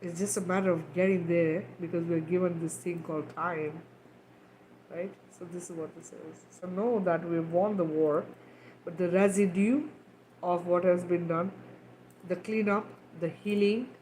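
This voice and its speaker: A woman's voice: lowish voice, fairly even intonation, a little slow, warm tone, a little hiss or hum, rough recording. Loose-talking woman healer on a livestream. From Kenya. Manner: loose, repetitive, frequent "right?" and fillers. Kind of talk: casual livestream talk, guided healing.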